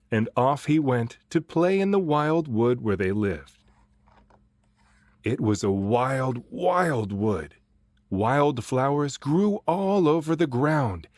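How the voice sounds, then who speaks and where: A man reading a children's picture book aloud in an expressive way, drawing out phrases for dramatic emphasis. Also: mid-range voice, dry recording. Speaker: storybook reader and voice actor.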